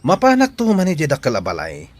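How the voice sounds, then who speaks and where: A man's voice: on the high side, wildly swooping pitch, quick pace. A man with an Ilocano accent playing a scornful, scheming grown son in a rural radio drama. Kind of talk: Filipino radio drama acting.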